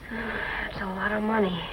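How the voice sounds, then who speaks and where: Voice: mid-range voice, flat delivery, slow pace, fairly bright tone. An actor playing an earnest, guilt-ridden young ranch boy in an old-time western drama, on a dull, noisy recording. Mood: subdued worry.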